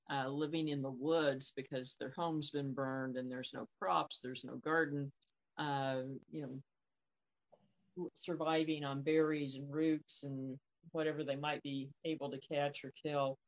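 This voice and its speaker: A woman co-hosting a podcast, speaking conversationally with frequent ums and pauses. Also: low-pitched, steady tone, rough recording. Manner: hesitant, lots of ums and pauses. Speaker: podcast co-host. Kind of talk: conversational discussion of folklore.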